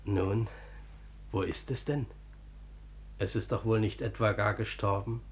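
Actor as a humble, gentle pious brother. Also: male voice, on the low side, steady tone, a little slow, a little hiss or hum, lightly acted. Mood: reassuring. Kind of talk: German classical radio drama acting.

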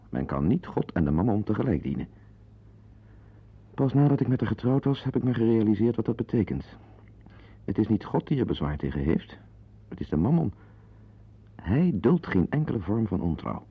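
Radio drama husband: bitter, neurotic, sardonic confider with swooping intonation, muffled lo-fi tape sound. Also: male voice, low-pitched, breathy edge, full character voice. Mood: musing, confiding. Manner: digressive, sardonic, prone to outbursts. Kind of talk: radio play monologue and dialogue acting.

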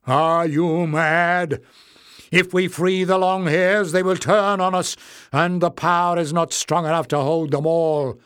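A man voicing an angry, suspicious and stubborn male leader of an underground folk in a storybook, sneering and forceful in manner. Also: fairly high pitch, slow pace, smooth, no room sound, studio quality, pitched above the performer's own voice. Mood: furious. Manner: sneering, shouting in anger.

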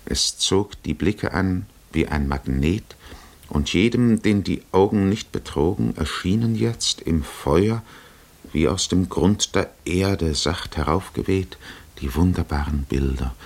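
A deep, unhurried epic storyteller. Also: male voice, darkish tone, full character voice. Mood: solemn, spellbound. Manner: measured, poetic, solemn. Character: solemn male epic storyteller, grave and measured. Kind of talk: 1950s German radio drama narration, literary.

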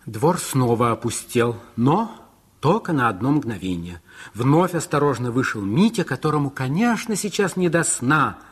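Husky man narrating with lively pitch. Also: moderate pace. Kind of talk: scripted radio drama narration.

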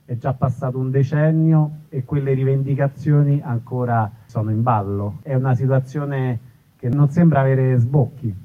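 A man's voice: medium pitch, fairly even intonation, normal speed, slight background noise. A man interviewing in a casual, thoughtful and discursive way. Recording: thin phone-like live recording.

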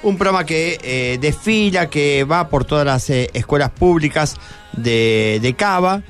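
Buenos aires guy hosting a radio chat, kinda high voice, asks lots of questions, bit of music under him. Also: expressive, unhurried. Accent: Argentine, Buenos Aires. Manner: conversational, prompting with questions. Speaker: radio host. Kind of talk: live radio interview with students.

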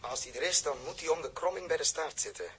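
A husky, high-pitched commanding captain. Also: male voice, quick pace. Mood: tense. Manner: commanding, clipped, theatrical delivery. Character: stern, commanding young spaceship captain. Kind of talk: 1960s radio drama acting. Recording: old 1960s radio recording.

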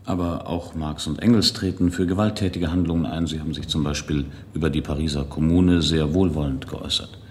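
An actor playing a formal, inquiring reporter in a period radio drama, husky, measured and politely probing. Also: male voice, extremely low pitch, fairly even intonation, a little mellow, slight background noise. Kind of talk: 1970s German radio drama acting.

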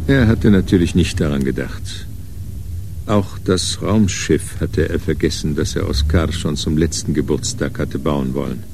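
A man narrating a radio crime drama in a sober, matter-of-fact, measured way. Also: extremely low pitch, vocal fry, noisy background, full character voice. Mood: wry, reflective. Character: sober narrator of a hard-boiled crime story.

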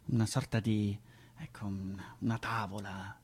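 A woman's voice: extremely low pitch, little pitch movement, measured pace, darkish tone, breathy edge, heavily acted. A woman playing a fussy, bossy relative who coaxes and scolds with stammering restarts in radio theatre. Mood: coaxing. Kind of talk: theatrical radio dialogue acting.

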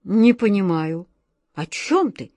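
A woman voicing a calm, kindly wizard, a grown man who reassures and wonders. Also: mid-range voice, slow, no echo.

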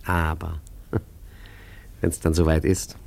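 Deep-voiced, smug gentleman rogue. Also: monotone, slow, gravelly, heavily acted. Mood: chatty, smug. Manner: chatty, smug, mock-modest asides. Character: genial, self-pleased rogue art forger, sly and sentimental. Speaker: narrator and lead character. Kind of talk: radio play acting, first-person narration to the listener.

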